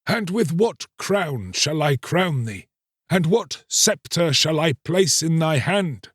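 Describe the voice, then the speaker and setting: Man playing a grave old bishop, husky. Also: fairly high pitch, animated, takes it slowly, dry recording, clean studio sound, voice pushed up, exaggerated voice. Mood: grave concern. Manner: formal, questioning, paternal.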